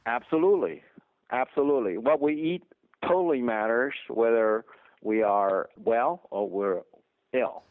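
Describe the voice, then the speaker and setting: A man's voice: mid-range voice, unhurried, dry recording. Taiwanese-accented man on a tinny line. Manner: emphatic, explanatory, chuckles often. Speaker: dentist guest. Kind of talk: interview conversation. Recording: dry tinny phone line.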